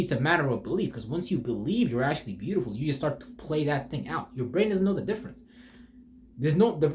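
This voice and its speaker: A man's voice: mid-range voice, darkish tone, slight background noise. A man giving an off-the-cuff, rambling motivational talk as a spiritual speaker, informal, slangy and profane, repeating himself for emphasis and putting rhetorical questions.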